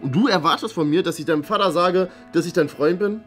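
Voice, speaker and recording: A man's voice: sits high, fast, close to an everyday voice. A young man playing a cocky, dismissive cool schoolboy in a comedy sketch, blunt, mocking and casual. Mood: scornful. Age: young adult. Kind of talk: scripted classroom comedy sketch. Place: small bright classroom, indoors. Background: faint music.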